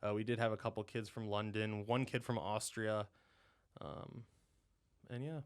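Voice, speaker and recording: A man in his twenties from Ohio, a podcast guest chatting casually, rambling with lots of fillers and quick laughs. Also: lowish voice, level tone, clean tone. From Ohio, USA. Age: 20s. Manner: rambling, heavy on "you know" and "um", laughs often. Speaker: podcast guest, dental student. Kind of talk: casual interview chat.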